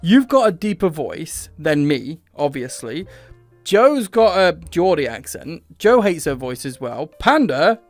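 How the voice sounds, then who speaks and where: A chatty British man hosting a casual podcast, his voice sitting a little higher than most men's. He talks loosely and checks in with his listeners as he goes, with soft music playing faintly behind him. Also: sing-song, a little slow. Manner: chatty, checks on the stream audio. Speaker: podcast host. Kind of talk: casual chat on a livestreamed podcast.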